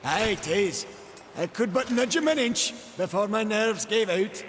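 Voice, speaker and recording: High-voiced, sheepish young villager. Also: male voice, measured pace, fairly bright tone, slight background noise. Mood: sheepish, confiding. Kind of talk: fantasy video game acting. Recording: long reverb, music behind.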